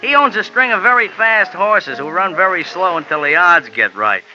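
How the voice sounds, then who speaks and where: A scrappy little jockey rattling off slangy racetrack talk. His voice is bright and springy, leaping around in pitch. It comes through a muffled old radio recording with music playing underneath. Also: expressive, exaggerated voice. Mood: knowing. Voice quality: slangy fast-talking tough-guy patter. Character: small wiry man jockey, street-wise and loyal. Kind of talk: 1950s radio drama acting.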